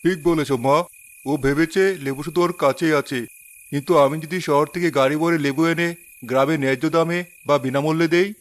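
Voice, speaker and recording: A kind village leader speaks calmly and fairly in a slightly high voice, as a man acts him out. He measures every word. It comes from a storybook cartoon. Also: close to an everyday voice. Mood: resolved. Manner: calm and measured. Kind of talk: cartoon voice acting.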